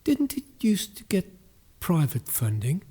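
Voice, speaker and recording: A polite, expressive vicar chairing a parish meeting in a radio play acted by a man. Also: fairly high pitch, unhurried, husky, exaggerated voice. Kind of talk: scripted audio drama dialogue.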